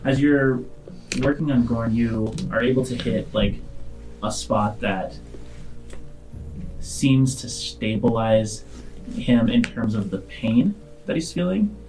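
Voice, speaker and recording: A man running a tabletop roleplaying game, telling the story to the players in a halting, deliberate way. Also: medium pitch, lively intonation, darkish tone, faint music behind, rough recording. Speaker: game master.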